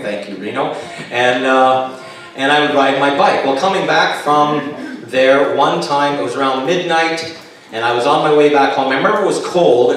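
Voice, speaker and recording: A man's voice: mid-range voice, a bit bright. A Canadian man in his late fifties preaching as a pastor, telling personal stories in a folksy, joking way. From Kitchener, Ontario, Canada. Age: late 50s. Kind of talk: sermon with personal testimony, anecdotal. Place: chapel, on stage at a pulpit. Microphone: stand mic over speakers, recorded by far camera. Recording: very echoey chapel, distant camera pickup.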